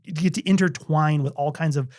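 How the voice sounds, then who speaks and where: A middle-aged man co-hosts a casual podcast chat with a slightly high voice. He talks eagerly, restarts sentences and keeps agreeing. The sound is close and dry. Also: fairly even intonation, darkish tone, no room sound. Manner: eager, enthusiastic, quick restarts. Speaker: podcast co-host.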